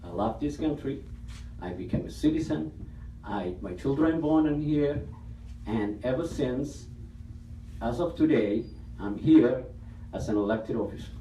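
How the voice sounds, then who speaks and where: Somali-accented man, elected official, mellow low voice, halting testimony from across an echoey room. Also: lively intonation, slight background noise. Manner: plain, halting personal testimony. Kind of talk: public meeting speech. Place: city council meeting room. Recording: echoey hall, voice from far off.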